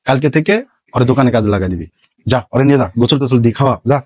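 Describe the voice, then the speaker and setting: Stern Bangladeshi shop boss played by a man, medium-pitched. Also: expressive, fast, lightly acted. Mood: commanding. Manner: authoritative, scolding. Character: stern but fair male shop owner, commanding. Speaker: comic actor in an online skit. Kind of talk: comedy skit acting.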